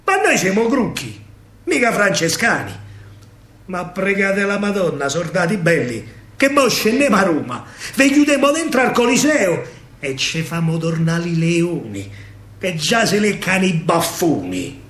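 A man's voice: high-pitched, sing-song, unhurried, fairly bright tone, reverberant, full character voice. A man voicing boastful, menacing German soldiers in Roman dialect, mocking and threatening, in a stage monologue. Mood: taunting, menacing.

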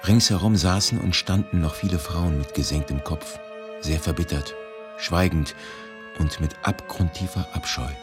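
Very deep, solemn male narrator. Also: normal speed. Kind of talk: scripted narration in radio drama. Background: music.

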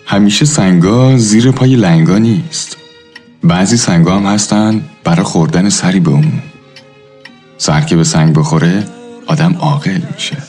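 A man playing a reflective, philosophical narrator who tells a radio drama in a measured, literary manner. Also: low-pitched, a little mellow, close to an everyday voice. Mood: pensive. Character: reflective, philosophical storyteller of an old neighbourhood. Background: faint music.

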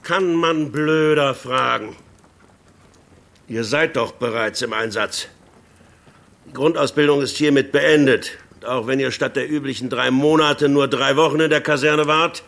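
An actor playing a brusque, mocking army doctor who barks condescendingly at young recruits in a German radio drama. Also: male voice, fairly high pitch, gravelly, fairly bright tone. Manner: sardonic, barking, condescending.

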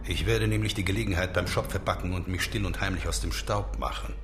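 An actor playing a blunt, defiant adventurer with a hearty, assertive manner in a radio drama. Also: male voice, low-pitched, steady tone, a little fast, over music, lightly acted. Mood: defiant, scheming. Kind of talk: German radio drama acting.

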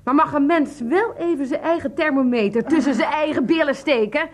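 Actress as a high-voiced, aggrieved sick wife. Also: female voice, a little fast, natural voice. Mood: peevish, scornful. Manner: complaining, sarcastic. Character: aggrieved, sharp-tongued, self-pitying sick wife. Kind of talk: radio play acting.

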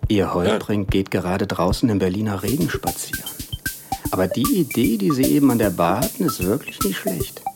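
A man's voice: fairly low pitch, sing-song, normal speed, vocal fry, music in the background. An actor playing a cynical hired spy and hitman in a satirical radio play, speaking in a dry, matter-of-fact way.

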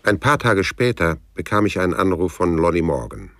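Very deep, laconic hard-boiled detective. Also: male voice, very animated, a little fast, background noise. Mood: melancholy, reflective. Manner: dry, laconic. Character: laconic, hard-boiled male private detective, world-weary narrator. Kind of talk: radio crime drama narration and dialogue. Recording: music behind the voice.

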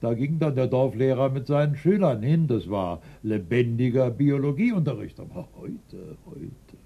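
A gruff older taxidermist in a radio play. He speaks curtly and dryly, with a nostalgic streak, at a medium pitch on a slightly dull recording. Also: expressive, unhurried. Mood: wistful. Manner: curt, dry, unwelcoming. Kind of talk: German radio drama acting.

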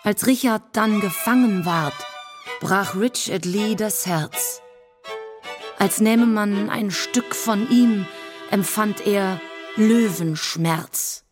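A woman performs a narrative ballad in verse. Her phrasing is slow and her pitch is middling, set against prominent fiddle music. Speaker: ballad singer.

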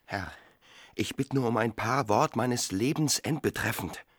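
A man's voice: medium pitch, unhurried, slightly rough, no room sound, studio quality. A man acting a proud, hot-tempered army lieutenant in a radio drama, speaking in formal, archaic, pressing phrases. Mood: insistent, courteous.

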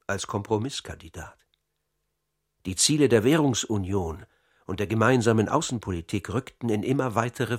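An actor in a radio play voicing a middle-aged Dutch psychologist giving formal, measured testimony. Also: male voice, fairly low pitch.

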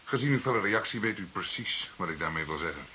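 Shrewd junior detective, low voice, animated intonation, insinuating, confrontational, hissy radio play recording. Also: male voice, fast talker. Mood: accusing, controlled. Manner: pointed, insinuating questioning. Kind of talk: Dutch radio drama dialogue.

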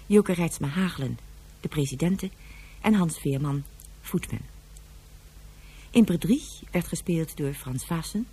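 A woman announcer reads radio drama credits from a script. Her voice is low, very husky and dark. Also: animated, unhurried, pauses often.

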